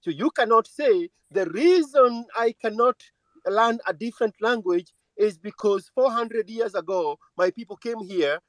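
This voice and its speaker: A man's voice: sits high, a little slow, dry recording, rough recording. A man calling into a live talk room, speaking bluntly and cutting in to argue his point. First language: not English. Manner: interrupting, blunt, argumentative. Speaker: caller. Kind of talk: live audio chat room debate.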